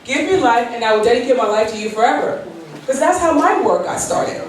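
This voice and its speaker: A woman's voice: on the high side, lively intonation, fairly quick. A woman in her forties, from Liberia, giving a keynote speech as a personal story with an earnest, storytelling manner. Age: 40s. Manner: earnest, storytelling, with quoted prayers and light laughs. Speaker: keynote speaker. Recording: very echoey hall, voice from far off.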